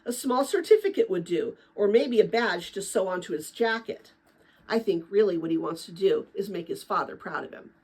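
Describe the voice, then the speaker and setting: Expressive woman reading aloud, slightly husky. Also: mid-range voice, normal speed. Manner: expressive, chatty when commenting to listeners. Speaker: read-aloud narrator. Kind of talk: dramatic children's book read-aloud with casual asides.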